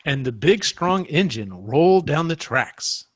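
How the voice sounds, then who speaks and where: A man's voice: on the high side, big pitch swings, a little slow, no room sound. A man, a podcast co-host, reading a comic parody storybook aloud.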